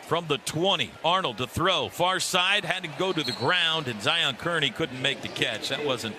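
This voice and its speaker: A man doing live radio play-by-play of a football game in clipped phrases that build into excited calls. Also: a little high, moderate pace. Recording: loud stadium noise behind the broadcast voice. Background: stadium crowd and ambience.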